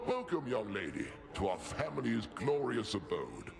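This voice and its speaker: A man voicing a majestic, commanding older king of the gods in a video game, gravelly, with grand formal pronouncements. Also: lowish voice, sing-song, normal speed, full character voice. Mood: stately, welcoming. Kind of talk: scripted video game dialogue. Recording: music behind the voice.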